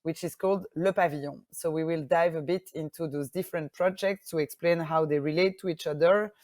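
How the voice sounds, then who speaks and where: A woman with a Belgian French accent giving a conference presentation in a steady voice, with frequent fillers and restarts. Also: sits low, fairly quick, no room sound. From French-speaking Belgium. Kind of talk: presentation talk.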